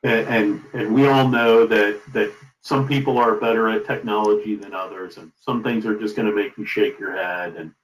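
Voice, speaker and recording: A middle-aged man being interviewed as a company founder, giving advice in an emphatic, deliberate conversational way. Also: fairly low pitch, fairly even intonation. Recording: roomy, echoing sound.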